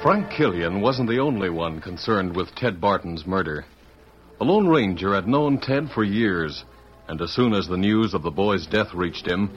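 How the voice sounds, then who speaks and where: Low-voiced man narrating old-time radio western. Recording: muffled old radio recording, faint music.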